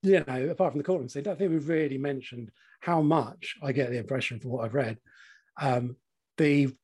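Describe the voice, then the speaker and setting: A man in his forties with a slightly croaky voice, co-hosting a casual podcast chat, laughing often and swearing freely. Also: medium pitch, brisk, a little mellow. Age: 40s. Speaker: podcast co-host.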